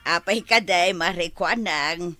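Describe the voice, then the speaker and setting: A woman's voice: fairly low pitch, slow pace, pushed and bright. An actress with an Ilocano accent playing a scolding, nosy village woman in a radio comedy drama. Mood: inquisitive. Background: music.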